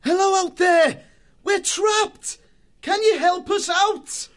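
Earnest young mouse hero, put on by a male narrator, lifted voice, lively pitch. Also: normal speed. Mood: shouting. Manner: earnest, taking charge. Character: brave young male mouse warrior, a leader. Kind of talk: audiobook character voice.

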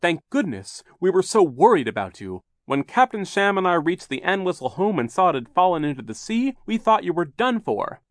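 Man playing a fussy, fretful gentleman, raised pitch. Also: big pitch swings, moderate pace, few pauses, dry recording, clean studio sound. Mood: relieved fretting. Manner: fretful, patronizing, exclamatory. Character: fussy, dismissive, well-meaning but obtuse male banker guardian.

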